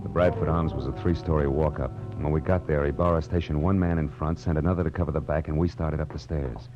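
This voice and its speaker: A low-voiced American private eye talks in clipped, hard-boiled phrases, commanding and brisk. It is 1940s radio detective drama, with music behind the voice. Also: male voice, fairly even intonation, highs cut off. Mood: grim narration. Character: tough, brisk private detective narrating his case.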